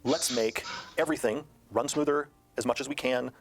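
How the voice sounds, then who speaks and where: A man speaking as a game designer in an interview, explaining in detail with halting emphasis. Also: mid-range voice, creaky, soft background music.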